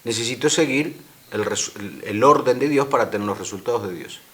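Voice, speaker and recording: A man's voice: medium pitch, expressive, fairly quick, fairly bright tone. Argentine-accented man preaching in a studio. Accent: Argentine Spanish. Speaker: guest speaker. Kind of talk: interview, preaching explanation. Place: radio studio.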